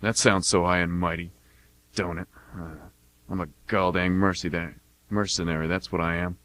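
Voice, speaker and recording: A man's voice: low voice, little pitch movement, normal speed, a bit bright, no echo. A man acting a weary, self-deprecating mercenary in his late twenties in a radio play, talking in a folksy, hesitant mutter. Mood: bitter, self-mocking.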